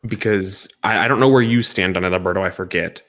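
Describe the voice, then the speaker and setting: Low-voiced man in casual debate. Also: fast talker, fairly bright tone. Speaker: podcast co-host reviewing movies and games. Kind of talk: casual opinionated discussion.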